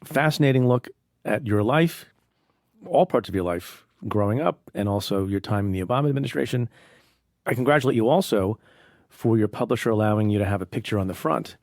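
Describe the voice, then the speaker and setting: A man with a low, gravelly voice hosts a podcast interview. He came from India and asks his questions with a joking, teasing edge. He is recorded close on a dry studio mic. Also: fairly quick, a little mellow, dry recording. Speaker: podcast host.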